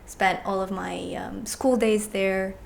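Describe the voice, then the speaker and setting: A woman in her thirties, a podcast guest, telling stories about growing up in a relaxed, chatty way and laughing easily. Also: medium pitch, takes it slowly, vocal fry, slight background noise. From born in Egypt, raised in the UAE. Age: 30s. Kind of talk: casual chat.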